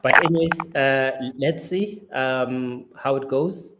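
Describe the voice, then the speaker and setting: A man hosting a casual, unscripted interview in a halting, hesitant way, often repeating himself. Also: medium pitch. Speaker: host, interviewer. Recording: loud background noise with clicks.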